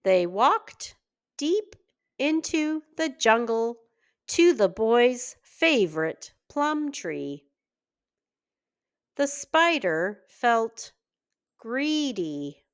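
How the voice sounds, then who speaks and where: A woman reading a children's story aloud in deliberate, word-by-word phrases, as a reading teacher does for early readers. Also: a little high, big pitch swings, smooth.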